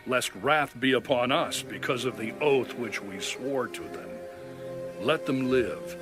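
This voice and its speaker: An actor playing a solemn, resolute ruler in a dramatized scripture reading. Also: male voice, medium pitch. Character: solemn, resolute male ruler bound by an oath.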